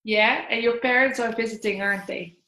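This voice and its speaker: A woman in her twenties from the Netherlands, hosting a casual interview over a video call in a friendly, unhurried way. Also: on the high side, pushed and bright. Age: 20s. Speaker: host. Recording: echoey room.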